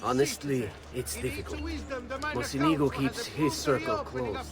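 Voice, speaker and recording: An actor playing a sly, nervous government official in a video game, smug and then defensive. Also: male voice, mid-range voice, big pitch swings, a little slow. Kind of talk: video game cutscene acting.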